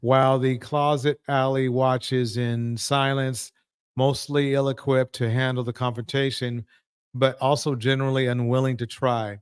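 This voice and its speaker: A man hosting a live panel, moving the talk along and haltingly reading a film introduction. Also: mid-range voice, little pitch movement, clean tone. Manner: halting, many fillers when reading. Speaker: host.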